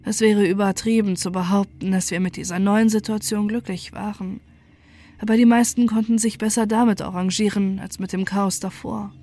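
A woman narrating a scripted first-person horror story with deliberate, dramatic phrasing. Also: medium pitch, steady tone, warm tone. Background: music.

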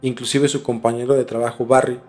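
A man with a Mexican accent in a casual, colloquial podcast conversation, explaining a story in a halting, conversational way. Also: mid-range voice, little pitch movement, a little mellow. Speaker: podcast host telling a case. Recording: music under the voice. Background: background music.

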